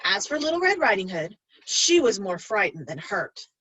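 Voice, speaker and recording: A woman's voice: mid-range voice, very animated, normal speed, bright, forceful tone, husky, no echo. A woman reading a children's story aloud expressively, like a teacher addressing pupils.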